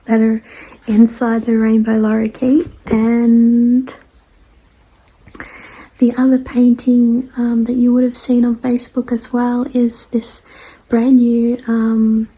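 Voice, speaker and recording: A woman with a dry, croaky voice, getting over a cold and clearing her throat, chats casually to followers as host of a weekly show in an unhurried, hesitant, rambling way. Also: a little high, completely flat delivery, mellow, low-quality recording. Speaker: artist hosting a weekly message show. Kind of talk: casual vlog chat to followers.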